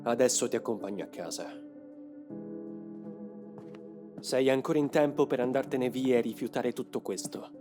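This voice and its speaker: A man's voice: mid-range voice, fairly quick, close to an everyday voice. A man voicing a grave, determined young man in a motion comic dub, with a solemn, explanatory delivery. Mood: somber. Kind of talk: dramatic motion comic dubbing. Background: music.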